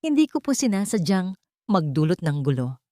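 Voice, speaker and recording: A woman's voice: fairly low pitch, sing-song, moderate pace, mellow, no echo, studio quality. A woman voicing a humble, pleading housemaid and devoted daughter in an audiobook drama. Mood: meek apology. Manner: meek, pleading.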